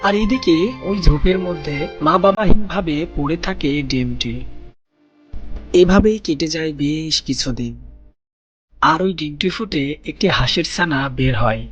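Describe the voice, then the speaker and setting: A man narrating a children's cartoon story in a gentle storybook manner, speaking to young listeners as friends. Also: a little high, measured pace, highs cut off. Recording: voice-over with loud background music.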